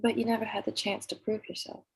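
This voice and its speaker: A woman's voice: fairly high pitch, little pitch movement, fast, slightly rough, no echo, low-quality recording. A woman reading the part of a painfully shy young woman at a table read, hesitant and stammering.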